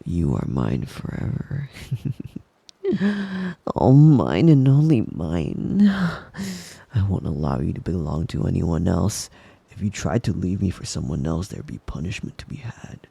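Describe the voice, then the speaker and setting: A man playing a possessive, doting yandere wolf boy in an intimate ASMR roleplay, slow and soft, cooing praise and often dropping into a whisper at a close mic. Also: low voice, sing-song, vocal fry, breathy edge, dry recording, exaggerated voice. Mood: possessive menace.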